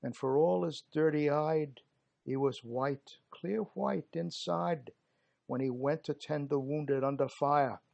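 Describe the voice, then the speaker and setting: A man reciting dramatic verse as a gruff working-class British soldier of colonial India, theatrical and emphatic, with slangy, clipped word endings. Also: on the high side, takes it slowly, darkish tone, lo-fi sound. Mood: reminiscing. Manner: theatrical, emphatic, dropped g's and slangy phrasing.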